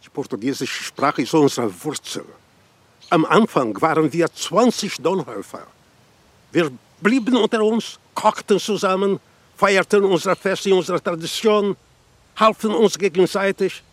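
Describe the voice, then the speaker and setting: A man playing a stern, proud old Portuguese immigrant grandfather in a radio crime drama, curt and husky. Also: high-pitched, lively intonation, a little slow, no room sound. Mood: proud, firm. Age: older. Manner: curt, authoritative.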